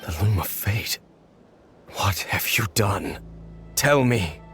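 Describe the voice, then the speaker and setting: A man voicing a stern, bitter, centuries-old knight in a video game, formal and cold, with measured, accusing delivery. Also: fairly low pitch, animated, a bit bright, faint music behind, exaggerated voice. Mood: alarmed, demanding. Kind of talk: video game dramatic voice acting.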